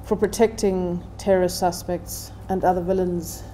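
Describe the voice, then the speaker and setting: A middle-aged woman delivering a prepared seminar talk as a panelist, with measured, deliberate phrasing. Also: fairly low pitch, flat delivery, dark tone, a little hiss or hum, poor audio. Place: indoor seminar room, seated at table.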